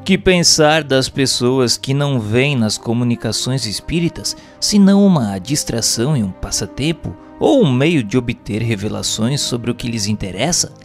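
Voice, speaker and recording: A man plays an earnest, studious questioner in a radio drama, with a Brazilian accent. The mid-pitched voice moves in animated swings while staying formal and inquiring. Soft music runs underneath. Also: moderate pace, hardly any pauses, natural voice. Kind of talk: scripted question reading, formal.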